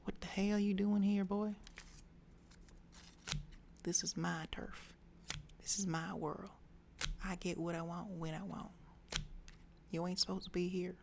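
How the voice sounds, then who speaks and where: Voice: mid-range voice, flat delivery, a little slow, vocal fry, pauses often, darkish tone, a little hiss or hum. A whispering storyteller voicing a lonely little girl who is trapped in a repeating day. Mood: bossy. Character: lonely little girl, bossy then sweet.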